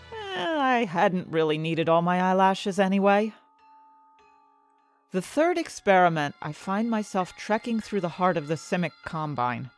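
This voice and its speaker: A woman acting an anxious, desperate young heroine who tells her own fantasy story in intense, dramatic first-person narration. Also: fairly low pitch. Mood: wry. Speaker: narrator and main character of an audio fiction story.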